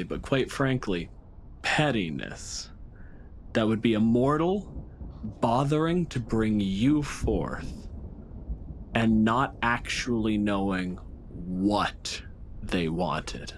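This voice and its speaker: A man improvising narration in a tabletop roleplaying game, with deliberate, emphatic and ornate phrasing. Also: on the low side, animated. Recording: faint background noise and ambience.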